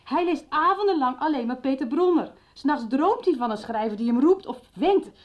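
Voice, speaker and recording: A woman's voice: high voice, animated, moderate pace. An actress playing an earnest, indignant schoolteacher in a radio drama, direct and insistent in her questions. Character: indignant, earnest female schoolteacher defending herself. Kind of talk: 1990s radio drama acting. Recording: slightly dull radio drama recording.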